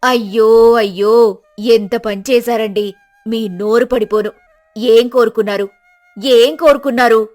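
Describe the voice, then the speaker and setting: Woman doing a bossy poor village wife, sharp tongue, kinda high voice. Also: fairly even intonation, a little slow, pauses often, faint music behind, natural voice. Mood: scolding. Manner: scolding, bossy. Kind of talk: storybook drama acting.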